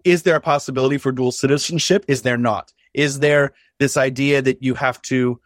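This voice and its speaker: A man's voice: mid-range voice, fairly even intonation, a little fast, no echo, studio quality. A man in a casual discussion, reflective, explaining at length and posing rhetorical questions.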